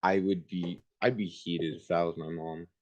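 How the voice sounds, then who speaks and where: A man's voice: low voice, fairly even intonation, moderate pace, darkish tone, highs cut off. A man reading a children's book aloud in an informal way, with casual asides of his own. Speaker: reader hosting a book livestream.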